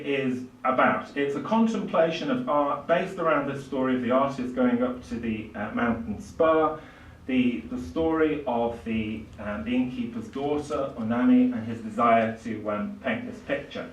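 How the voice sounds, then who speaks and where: An older man giving a literary lecture in a halting, hesitant way, with frequent pauses. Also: mid-range voice, muffled recording, a little hiss or hum. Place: small indoor lecture room with seated audience. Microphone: far camera, speaker at a lectern. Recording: roomy, distant microphone in a hall.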